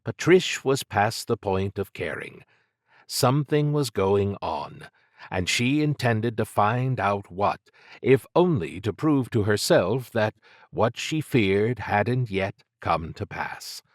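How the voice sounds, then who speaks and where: Guy narrating a novel, low voice, takes his time, really dry close sound. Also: animated, slow, dry recording. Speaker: audiobook narrator. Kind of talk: scripted fiction narration.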